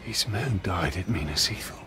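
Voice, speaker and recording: An actor playing a brooding, dryly wry ranger hero in a fantasy game, understated and gravelly. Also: male voice, deep voice, animated, moderate pace, over music. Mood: grim. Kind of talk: dramatic fantasy game acting.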